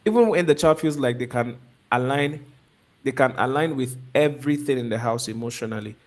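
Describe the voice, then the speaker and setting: Halting man hosting a live interview. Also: medium pitch. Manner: halting, repeats words and restarts. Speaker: host of a live stream. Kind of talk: interviewing a guest. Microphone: video call.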